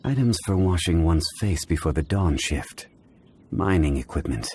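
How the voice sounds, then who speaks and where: A man playing a calm, erudite gentleman investigator in a video game, speaking in a formal, measured way. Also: deep voice, slightly rough. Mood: pensive.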